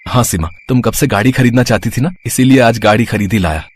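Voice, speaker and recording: A man playing a harried young husband in an animated moral story, earnest and placating. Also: medium pitch, fast, breathy edge, lightly acted. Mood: placating, pleading.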